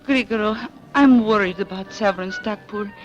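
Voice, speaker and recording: A woman's voice: mid-range voice, moderate pace, natural voice. A woman acting an anxious woman in danger in 1940s radio drama, with a breathless, melodramatic delivery. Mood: worried. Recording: dull vintage radio sound with music.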